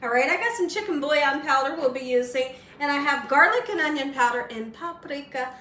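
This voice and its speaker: A woman hosting a home cooking show, chatty and folksy, slightly hoarse as she loses her voice, often asking "right?". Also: high voice, a little fast, bright, forceful tone, echoey room, recorded from a distance, a little hiss or hum. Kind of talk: casual cooking demonstration. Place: home kitchen.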